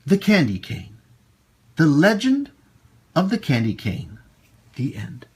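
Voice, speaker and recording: A man hosting storytime for children, reading and talking to them in an expressive, emphatic way. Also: fairly high pitch, slightly rough. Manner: animated, slow, emphatic delivery for young children. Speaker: storytime host and children's teacher.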